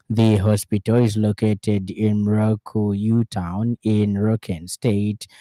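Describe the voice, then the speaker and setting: Dark, mellow Zambian male newsreader. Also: on the low side, dry recording. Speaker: radio news reader. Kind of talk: scripted news bulletin reading. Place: radio studio.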